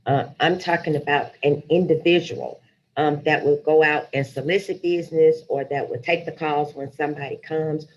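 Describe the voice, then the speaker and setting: A woman's voice: low voice, steady tone, normal speed. A woman speaking as a director in a formal virtual meeting, direct in manner. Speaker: board director. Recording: muffled lo-fi call, faint noise.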